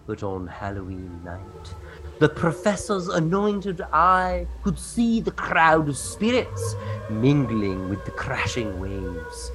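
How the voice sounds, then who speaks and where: A man's voice: mid-range voice, background noise. A man hosting a podcast with playful, theatrical banter and storytelling. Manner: animated, theatrical. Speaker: podcast host.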